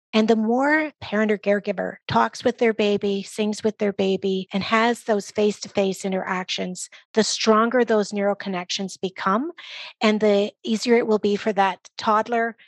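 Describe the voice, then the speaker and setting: A woman speaking as a guest expert, giving measured, articulate explanations in an interview with emphasis on key phrases. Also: medium pitch, dry recording. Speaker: guest expert, early literacy organization leader.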